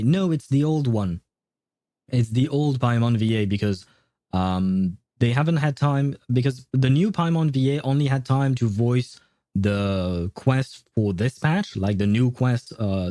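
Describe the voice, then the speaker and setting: Mellow male game streamer, casual chat. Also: medium pitch, no echo, studio quality. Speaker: game livestreamer. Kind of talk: casual livestream chat, answering viewers.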